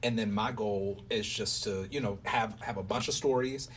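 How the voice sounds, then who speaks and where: A man in a casual interview chat, speaking as the host in a halting, rambling way with many repeated words. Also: on the low side. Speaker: playwright and host interviewing a collaborator. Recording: distant mic, faint background noise.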